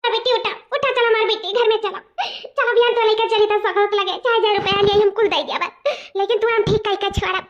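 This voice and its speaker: A woman voicing a fretful, excitable village mother in a comic cartoon, chattering repetitively in a rustic eastern Uttar Pradesh accent. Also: very high voice, fast talker, few pauses. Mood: worried. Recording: dull lo-fi cartoon soundtrack.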